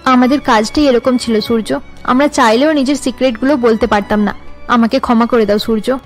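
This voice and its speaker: A woman voices a resolute young policewoman wife in cartoon melodrama. Her high voice explains things earnestly over faint music. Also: fairly even intonation, a little fast, close to an everyday voice. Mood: apologetic. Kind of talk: cartoon drama dialogue.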